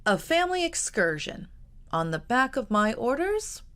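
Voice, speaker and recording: A woman's voice: fairly high pitch, big pitch swings, moderate pace, a bit bright, smooth, voice pushed up. A woman voicing a genial male army officer who teases and bargains with his young cousin. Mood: wry.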